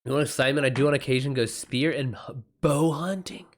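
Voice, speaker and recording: A man's voice: medium pitch, fairly even intonation, fast talker, a little hiss or hum. Casual, sweary male livestreamer. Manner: informal, sweary, slangy. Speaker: livestream host, author taking writing questions. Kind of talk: casual livestream chat, reading and answering messages.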